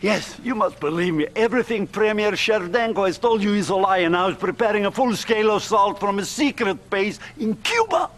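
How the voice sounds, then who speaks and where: A pleading scientist defector. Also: male voice, high voice, expressive, fairly quick, hardly any pauses, fairly bright tone. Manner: pleading, insistent. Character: nervous male scientist defector, urgent.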